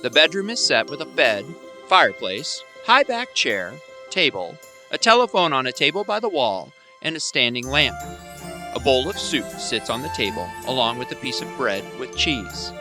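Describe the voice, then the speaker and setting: Animated, bright-voiced male radio play narrator. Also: mid-range voice, normal speed, faint music behind.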